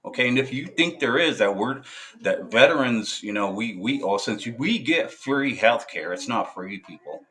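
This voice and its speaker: A veteran man talking to camera in a casual monologue, halting and hesitant, full of filler sounds and restarts, blunt and profane. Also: medium pitch, lively intonation, fairly bright tone. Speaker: military veteran.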